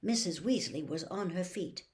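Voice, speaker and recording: A woman's voice: lowish voice, moderate pace, darkish tone. A woman narrating a storybook expressively as an audiobook reader.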